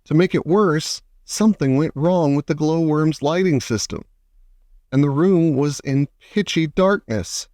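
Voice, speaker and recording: A man reading a children's storybook aloud as an expressive narrator. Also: a little high, unhurried, no echo, studio quality. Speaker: audiobook reader.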